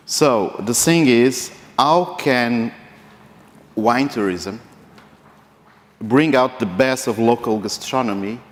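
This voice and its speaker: A man hosting a conference, friendly and chatty with his asides. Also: on the low side. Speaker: conference host. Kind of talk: opening remarks at a conference. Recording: reverberant conference hall.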